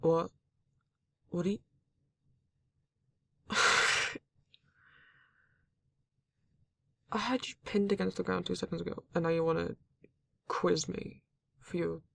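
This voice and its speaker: A performer voicing a grumpy, reluctant werewolf in an ASMR roleplay, exasperated and halting, with a husky, gravelly voice. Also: mid-range voice, level tone. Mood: incredulous. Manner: exasperated, sighing, swearing, halting delivery. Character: grumpy, reluctant werewolf, guarded but not cruel. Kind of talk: ASMR roleplay audio drama, intimate monologue.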